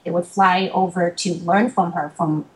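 Thoughtful young woman, halting. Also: fairly low pitch, fairly even intonation, rough recording. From Boston, United States. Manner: thoughtful, many fillers and restarts. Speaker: interview guest, martial artist. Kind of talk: casual interview talk.

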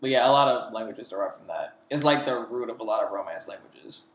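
A young man co-hosting a casual chat, making measured, reasonable points. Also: lowish voice, expressive, a little hiss or hum. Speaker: podcast co-host. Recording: echoey room.

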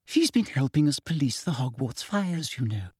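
A man's voice: medium pitch, big pitch swings, normal speed, dark tone, husky, no room sound, clean studio sound. A man voicing a prim, smug middle-aged woman official with a sickly sweet manner. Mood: sugary smug.

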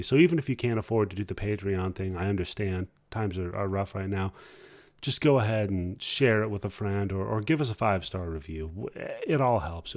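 A man hosting a podcast, talking informally and haltingly in a casual solo style. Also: lowish voice, no room sound, clean studio sound. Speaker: podcast host.

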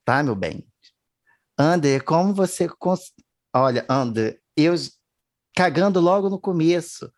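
Male host, theatrical, joking, quick to apologize. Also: a little high, expressive. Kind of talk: playful talk show hosting.

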